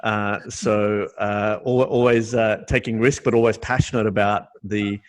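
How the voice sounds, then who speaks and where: A man's voice: on the low side, level tone, a little slow. A middle-aged man hosting an interview in a casual, upbeat and enthusiastic way. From Sydney, Australia. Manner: upbeat, enthusiastic, friendly questioning. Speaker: interview host.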